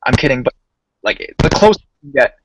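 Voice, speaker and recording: A man hosting a voice chat in a relaxed, slangy way, calling the guests "bro" and pressing them with questions. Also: mid-range voice, fairly quick, background noise. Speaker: host.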